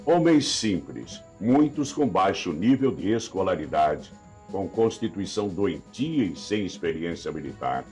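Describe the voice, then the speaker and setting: A man's voice: low-pitched, darkish tone. A man with a Brazilian accent narrating a scripted documentary in a solemn, dramatic, rhetorical manner. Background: background music.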